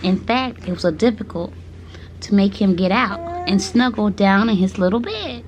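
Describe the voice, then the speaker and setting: A woman reading children's stories aloud to her baby, speaking gently and breaking off for playful asides. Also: medium pitch, animated, moderate pace, slight background noise, rough recording.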